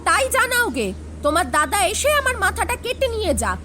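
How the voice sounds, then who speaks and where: An actress playing a domineering, sharp-tongued elder sister-in-law in an audio drama, scolding and quarrelsome. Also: female voice, very high-pitched, big pitch swings, moderate pace, noisy background. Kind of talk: Bengali audio drama acting.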